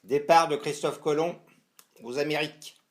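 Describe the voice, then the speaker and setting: A man's voice: a little high, steady tone, moderate pace. A man in casual conversation with his mother, prompting her and filling in details and dates. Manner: prompting, fills in details, adds history facts. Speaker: son interviewing his mother.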